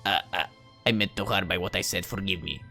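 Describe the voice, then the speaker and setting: A man's voice: sits low, fairly quick, bright, forceful tone, soft background music, pitched below the performer's own voice. A man voicing a timid, apologetic young male newcomer in a visual novel, meek and stammering. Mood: flustered, apologetic.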